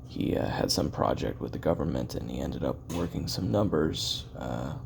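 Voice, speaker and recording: A man acting out a weary, regretful former assassin with an extremely low voice, thick with gravel and fry. He confesses his memoirs in a conversational audio-drama monologue, halting and correcting himself as he muses. A faint noise sits behind the recording. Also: expressive, darkish tone, full character voice. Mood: agitated recollection. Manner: halting, musing, trailing pauses and self-corrections.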